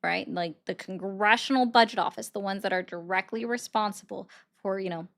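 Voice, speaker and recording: A woman hosting a political call-in livestream, brisk and sardonic, often cutting callers off. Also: mid-range voice, creaky, fairly bright tone. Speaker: livestream host taking calls.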